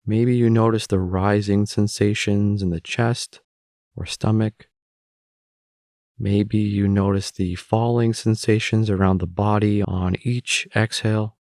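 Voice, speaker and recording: Male meditation guide, lowish pitch, very slow calm delivery with long pauses, dry close-mic sound. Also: dry recording.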